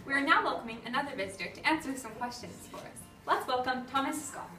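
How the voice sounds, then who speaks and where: A woman reading scripted interview questions for a school project, in a soft, careful way. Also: high voice, expressive, fast talker. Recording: echoey room, far from the mic, music behind.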